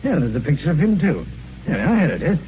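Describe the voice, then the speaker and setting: A jovial British police inspector chats in a breezy, teasing way in a vintage radio drama. His voice sits a little high and swings animatedly. The recording is dull, with faint noise. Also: sing-song, fairly quick. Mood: amused, teasing. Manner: breezy, amused, chatty. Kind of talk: 1950s radio mystery acting.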